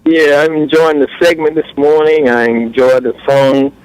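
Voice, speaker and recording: A man's voice: on the high side, little pitch movement, normal speed, a little mellow, smooth, dry recording, rough recording. A man calling in to a radio show, friendly and devout. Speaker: caller. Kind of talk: call-in comment.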